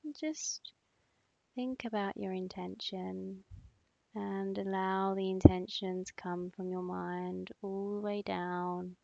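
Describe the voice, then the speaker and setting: A woman leading a guided meditation in a soothing, instructing manner, with long pauses and breathy phrase endings. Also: mid-range voice, steady tone, a little mellow, dry recording. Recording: slightly muffled, dull close dry recording.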